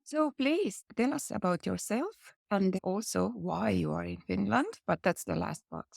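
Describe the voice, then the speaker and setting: A woman's voice: mid-range voice, lively intonation, vocal fry, darkish tone, no echo, clean studio sound. A woman host, upbeat and encouraging as she interviews over a video call. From Estonia. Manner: upbeat, quick to say "nice".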